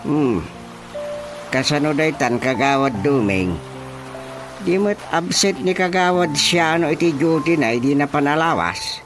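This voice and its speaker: Village chairman, Ilocano accent, flustered, stammering, slow-paced, highly animated, comic radio drama, music bed. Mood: puzzled. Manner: stammering, sputtering, full of exclamations. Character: flustered, easily amused male village chairman.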